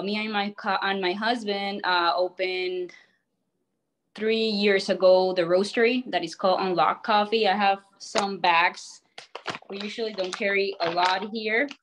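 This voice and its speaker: A woman from Colombia, speaking, gives a relaxed presentation on a video call with many small fillers. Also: mid-range voice. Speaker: guest speaker, coffee roaster.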